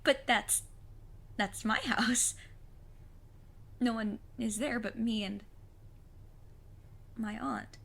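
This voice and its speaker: A woman playing a plucky, earnest fifteen-year-old witch's apprentice in an audio drama, with a husky voice and formal, precise wording. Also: on the high side, steady tone, very slow, pauses often, fairly bright tone, heavily acted. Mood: shaken, distressed. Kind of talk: scripted audio drama acting.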